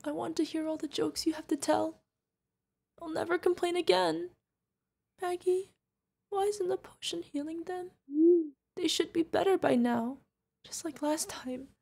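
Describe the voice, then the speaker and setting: A woman's voice: on the high side, unhurried, vocal fry. A woman playing a fierce yet tender witch mentor who is protective of an adopted pupil, in an emotional fantasy audio roleplay. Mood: tender, worried. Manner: emotional, commanding, affectionate, pleading. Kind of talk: ASMR fantasy roleplay acting.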